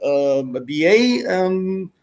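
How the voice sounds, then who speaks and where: Israeli man, Hebrew native, debater; slow and halting, slightly high voice. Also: expressive, darkish tone. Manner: hesitant, many fillers. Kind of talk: online debate.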